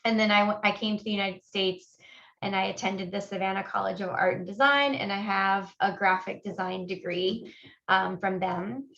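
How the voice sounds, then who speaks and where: A woman in her forties from Honduras presents over a video call in an easy, conversational way, often pausing on "um." Her mid-range voice comes through slightly dull and muffled. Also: medium pitch, fairly bright tone. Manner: friendly, conversational, frequent fillers. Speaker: admissions guest speaker. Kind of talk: online webinar presentation.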